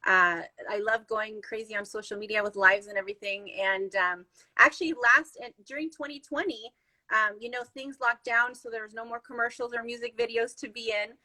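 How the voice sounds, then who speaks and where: Bright-voiced Chilean-born woman, chatty. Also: fairly high pitch, rough recording. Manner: chatty, upbeat, many fillers. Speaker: podcast guest. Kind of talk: casual conversation.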